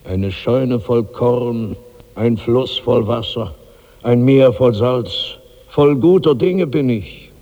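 A man's voice: medium pitch, slow, highs cut off. An actor in a 1960s German radio drama playing a huge, heavy old man tormented by bottomless hunger, in declamatory, incantatory repetition. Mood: heavy, sated. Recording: long hall reverb on old radio recording.

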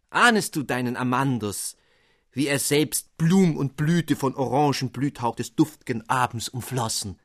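A man's voice: fairly bright tone, dry recording, studio quality. A man voicing a lovesick young student who writes gushing, flowery love letters. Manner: florid, swooning, high-flown.

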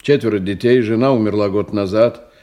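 A man's voice: on the low side, a little fast, dark tone, close to an everyday voice. An actor playing a testy man in his fifties who reports formally to his superior in a radio drama, with irritable muttered asides. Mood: businesslike. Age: 50s. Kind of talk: Russian radio drama acting.

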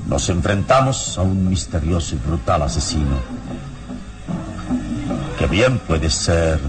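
Deep-voiced serene, enigmatic radio hero. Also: male voice, animated, over music. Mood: serene, assured. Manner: measured, formal, theatrical. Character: serene, enigmatic oriental-robed male hero, courteous and confident. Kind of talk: radio drama acting. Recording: noisy, rumbling old radio drama recording.

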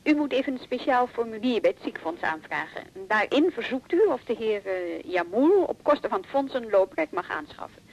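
An actress playing an officious, unbending clerk on the telephone in a radio drama, formal and matter-of-fact. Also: female voice, a little high, sing-song, brisk, creaky, few pauses, exaggerated voice, rough recording. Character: officious, unbending female lending-desk clerk.

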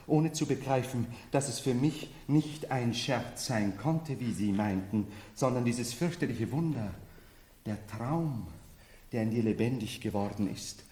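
An actor in a 1970s German radio drama playing a bitter, mocking nobleman who feigns madness as a medieval emperor, declaiming theatrically between hush and outcry. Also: medium pitch, lightly acted. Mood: feverish, yearning. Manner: declamatory, swinging from hushed to exclaiming. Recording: reverberant hall.

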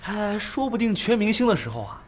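Husky, quick-talking gossipy gamer guy. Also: high voice, big pitch swings. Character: gossipy, know-it-all young male gamer. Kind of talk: Chinese radio drama dialogue.

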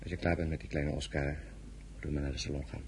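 An actor playing a calm, wary, protective general and husband in an understated, measured radio drama style. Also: male voice, very deep voice. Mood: guarded. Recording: noisy radio drama with clicks.

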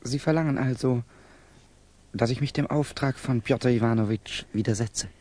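A slightly rough, husky male voice at a middling pitch from an actor in a radio play. He is a reserved young Russian student who chooses his words formally and politely, always guarded. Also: moderate pace, darkish tone, lightly acted. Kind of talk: radio drama acting.

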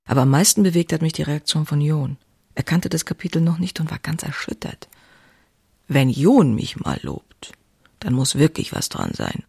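A woman's voice: low-pitched, creaky, a little mellow, husky, exaggerated voice. An actress playing an outspoken, passionate woman writer who confides in her diary in an intimate, wry way. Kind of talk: dramatized diary reading, scripted narration.

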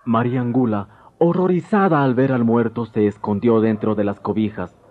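A man's voice: mid-range voice, moderate pace, slight background noise. A man narrating a scripted tale dramatically as a radio drama storyteller. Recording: dull lo-fi 1990s radio recording, music behind.

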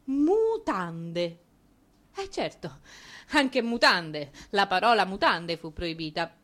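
A woman narrating a theatrical monologue as a stage performer, with an ironic, expressive manner. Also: mid-range voice, slow pace.

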